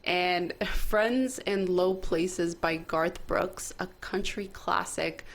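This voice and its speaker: A woman's voice: fairly low pitch, steady tone, slow pace. A woman hosting a talk show, presenting a list in a casual, chatty style.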